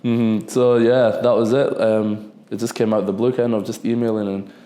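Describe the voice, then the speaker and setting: A young man in his twenties, talking casually in a podcast interview, relaxed and rambling, often repeating himself. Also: lowish voice, normal speed. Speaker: football defender, guest. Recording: echoey room.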